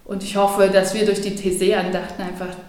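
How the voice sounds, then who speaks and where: A woman from Germany talks through her experience of church life, thinking aloud and pausing on fillers. Her voice is mellow and soft at the edges. It rings out in a strongly echoing room. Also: mid-range voice, level tone. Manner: thoughtful, hesitant with fillers. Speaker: interviewee, church member. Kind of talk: interview answer. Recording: very echoey room.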